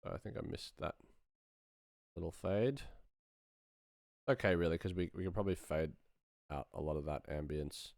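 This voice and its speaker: Low-voiced man, dry sound, casual tutorial. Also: moderate pace, creaky, pauses often, darkish tone, no room sound. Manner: informal, thinking aloud while working. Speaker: sound designer teaching. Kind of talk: casual live-stream tutorial.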